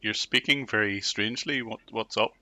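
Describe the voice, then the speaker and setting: A man making a teasing prank call with deadpan, needling questions. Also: lowish voice, moderate pace, dry recording.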